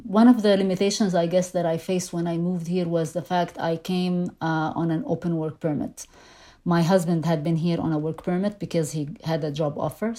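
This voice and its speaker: Mellow-voiced woman, Arabic native speaker. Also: on the low side. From Lebanon. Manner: thoughtful, many fillers and restarts. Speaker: interview guest, immigrant. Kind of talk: conversational interview.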